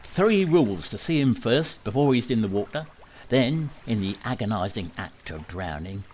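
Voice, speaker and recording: A man's voice: fairly low pitch, animated, normal speed, a little mellow, a little hiss or hum, natural voice. An amateur actor playing a sly male street showman who hawks a stunt to a lady with theatrical sales patter, read as a radio play. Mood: salesman.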